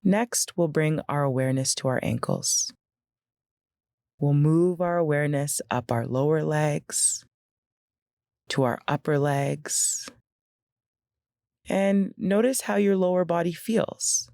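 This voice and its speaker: A woman leading a guided meditation in a gentle, unhurried, instructional way with pauses between phrases. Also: low-pitched, no room sound, clean studio sound.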